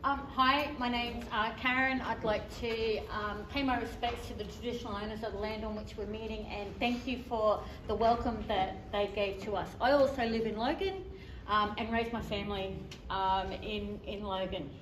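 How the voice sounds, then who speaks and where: A woman's voice: mid-range voice, steady tone, normal speed. A woman speaking as a community member at a conference, telling her group's story in a chatty way with fillers. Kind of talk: conference presentation. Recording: echoey room, mic at a distance, faint noise.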